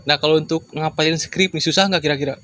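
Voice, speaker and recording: A man's voice: on the high side, steady tone, a bit bright. A man hosting a casual interview podcast, encouraging his guest and humming along as she talks. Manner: quick, encouraging, lots of backchannel hums. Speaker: podcast host.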